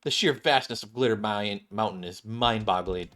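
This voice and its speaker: A man's voice: on the low side, normal speed, a bit bright. A man streaming a game, reading its story text aloud and often stumbling and rereading lines. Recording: game music behind the voice. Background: game music.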